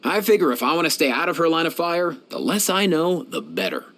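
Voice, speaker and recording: Easygoing young male game vet, kind and slangy, lightish pitch, very animated. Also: fairly quick. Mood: relaxed, friendly. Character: laid-back, kindly young male veterinarian. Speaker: video game character voice actor. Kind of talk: game voice lines, conversational.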